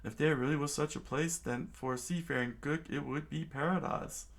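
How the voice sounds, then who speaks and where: A man's voice: fairly high pitch, steady tone, moderate pace, creaky, voice pushed up. Man voicing a scoffing, bossy ship's chef. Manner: scoffing, lecturing. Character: gruff older male ship's chef, mocking and bossy.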